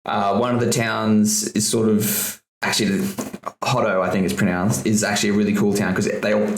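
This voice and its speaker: A man's voice: fairly low pitch, flat delivery, fairly quick, a little hiss or hum. A man co-hosting a casual podcast, ranting and swearing often with bursts of laughter. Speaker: podcast co-host. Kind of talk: casual chat. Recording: echoey room.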